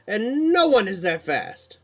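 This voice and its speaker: A man's voice: sits high, big pitch swings, slow pace, voice pushed up, exaggerated voice. A man voicing an indignant, insistent older female nurse in a story, scolding and questioning. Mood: indignant disbelief.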